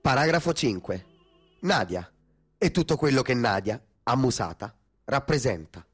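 A male actor reads a literary story as radio theatre. His voice is medium in pitch, with lively, wide-ranging intonation. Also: moderate pace, breathy edge, soft background music. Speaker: actor-narrator.

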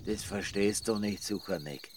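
A man acting a teasing village friend in a radio drama, with a lowish voice and wry delivery. Night insects and ambience are clearly heard around him. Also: normal speed, background noise, lightly acted.

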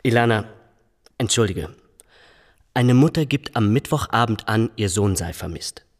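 An actor playing an ambitious, critical police officer in a radio drama, assertive and pointedly challenging. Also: male voice, on the low side, expressive, a little slow. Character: ambitious, critical, smug male police colleague. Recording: long reverberant room.

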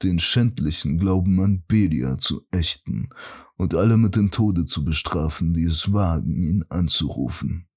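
An actor playing a solemn royal herald who reads an old feudal charter in a stately, measured, declamatory way for an audio drama. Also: male voice, low voice, darkish tone, breathy edge, full character voice. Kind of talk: formal archaic proclamation in an audio drama.

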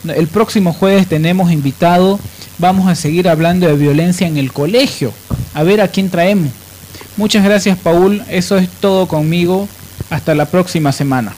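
A man's voice: high voice, moderate pace, darkish tone, a little hiss or hum, low-quality recording. A man hosting radio, delivering commentary in a sardonic, emphatic way. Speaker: radio show host.

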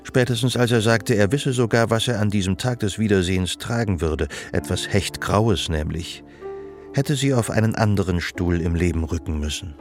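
Man playing observant storyteller, low-pitched, gravelly, measured literary narration, clear music bed. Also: close to an everyday voice. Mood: wistful. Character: detached, observant male storyteller of a woman's life. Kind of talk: literary radio drama narration.

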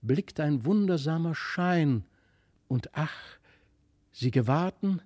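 A man reading a literary tale as narrator, in a careful, expressive storytelling manner. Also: fairly high pitch, no echo, studio quality.

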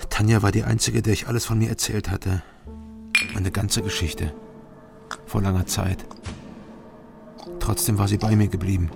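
An actor playing a guarded, probing investigator who narrates his own story in a radio crime drama, terse and low-key. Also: level tone, normal speed, gravelly, pauses often, over music. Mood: reflective, matter-of-fact.

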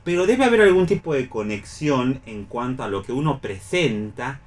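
Radio panelist, male, Argentine accent, mid pitch, reflective conversational delivery. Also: very animated, moderate pace. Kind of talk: reflective round-table radio discussion.

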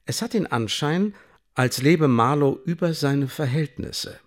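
An actor playing a coolly bureaucratic secret service officer in a radio play, speaking in measured, formal officialese. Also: male voice, on the high side, animated. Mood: dry, official. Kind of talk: radio drama, dictated official report.

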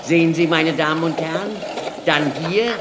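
An actor in a German radio play as a theatrical doctor who lectures an audience like a showman, declamatory and deliberate. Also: on the high side, noisy background, natural voice. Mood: showy, instructive.

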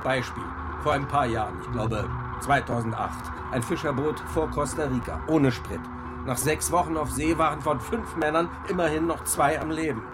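An actor playing a determined navy captain in a radio horror drama. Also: male voice, fairly high pitch, moderate pace, music in the background, lightly acted. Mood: insistent. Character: determined navy captain who refuses to give up.

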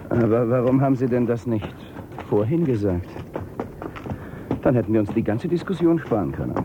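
An actor playing a suspicious companion in a German radio drama, questioning in an insinuating way. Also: male voice, mid-range voice, animated, normal speed, lightly acted. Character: probing, suspicious male companion. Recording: muffled, with clear vehicle noise.

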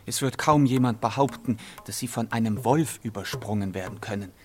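A man's voice: on the low side, a little fast, slightly rough. A man playing a youngish, odd European castellan in a German radio play, explaining in courteous, elaborate, formal phrases. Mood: formal, grave. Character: youngish, strange European castellan gone native, polite, faintly unsettled.

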